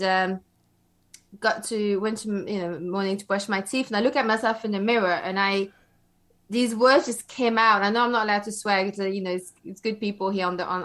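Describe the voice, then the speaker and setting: A woman's voice: medium pitch, a bit bright. Chatty woman in her forties from Belgium. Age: 40s. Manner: chatty, laughs often, many fillers. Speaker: podcaster guest. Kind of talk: casual interview.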